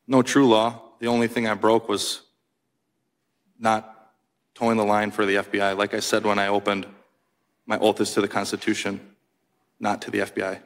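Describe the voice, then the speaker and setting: Man, 30s, from Wisconsin, witness; deep, fry-edged, monotone delivery. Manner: terse, quiet, measured answers. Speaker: whistleblower witness. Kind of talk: hearing testimony. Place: congressional hearing room. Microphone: desk microphone.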